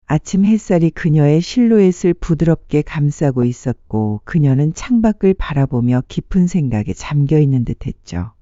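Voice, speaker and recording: Dark-toned, expressive story narrator. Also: mid-range voice, no room sound, muffled recording. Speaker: audiobook narrator. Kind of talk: scripted dramatic story narration.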